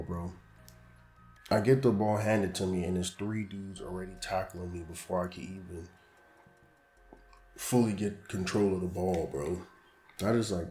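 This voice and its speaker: Deep-voiced young man losing his voice. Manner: casual, slangy, frustrated and animated. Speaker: gaming YouTuber. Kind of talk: gameplay commentary. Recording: roomy echo with background music.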